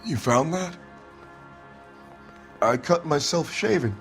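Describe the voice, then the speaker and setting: Defensive hot tempered husband getting grilled by cops in a game, blunt, slightly high voice. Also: male voice, animated, slow pace, music in the background. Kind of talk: acted dramatic video game dialogue.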